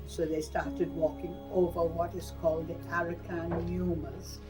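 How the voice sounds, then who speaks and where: A woman's voice: fairly low pitch, level tone, normal speed, music in the background. An older woman from Burma giving a personal reminiscence in a plain-spoken, emphatic way. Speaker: interviewee recalling wartime life.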